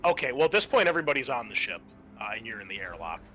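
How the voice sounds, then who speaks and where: A man's voice: mid-range voice, sing-song, rapid-fire, over music. A man acting as game master, narrating and refereeing a tabletop roleplaying game in an improvised way.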